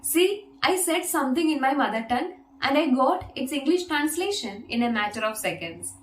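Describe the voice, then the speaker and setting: A woman's voice: high-pitched, lively intonation, moderate pace, a little hiss or hum. Friendly woman teacher in an echoey room. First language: Malayalam. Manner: patient, demonstrating an app step by step. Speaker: English teacher. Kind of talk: video lesson.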